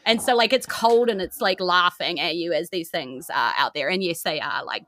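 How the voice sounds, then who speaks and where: A woman with a New Zealand accent improvising tabletop game narration as game master, in an animated, informal way. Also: fairly low pitch, moderate pace, dry recording, studio quality.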